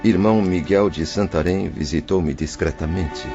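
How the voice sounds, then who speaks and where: A remorseful spirit speaks of his sorrows. His voice is very deep, earnest and sorrowful. The recording sounds dull, with music playing clearly behind. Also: normal speed. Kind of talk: audio drama narration and dialogue.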